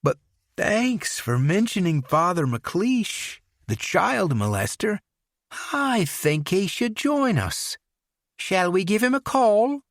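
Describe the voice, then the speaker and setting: A man's voice: sits high, very animated, slow, no room sound, studio quality, voice pushed up, full character voice. A man voicing an unhinged, menacing young man who slips into a put-on English accent. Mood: mocking menace.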